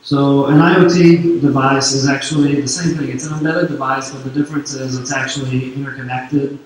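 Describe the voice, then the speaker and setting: A man from Quebec whose first language is French, speaking with a French-Canadian accent as he gives a relaxed technical conference talk full of fillers. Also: mid-range voice, a little fast. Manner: relaxed, filler-heavy explaining. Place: large conference hall on stage. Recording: echoey hall, distant mic, faint noise.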